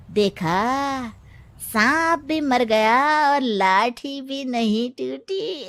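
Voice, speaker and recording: A woman's voice: high voice, lively intonation, extremely slow, long pauses, fairly bright tone, a little hiss or hum, heavily acted. A woman voicing a crafty male advisor to a village headman in a cartoon moral story, sly and coaxing. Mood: gloating.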